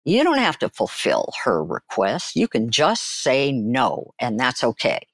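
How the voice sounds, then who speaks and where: An older woman shares personal stories as a guest in an interview. Her pace is slow and deliberate, with emphatic pauses and a highly animated rise and fall in pitch. The recording is close and dry. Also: breathy edge, dry recording. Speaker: guest, therapist and author.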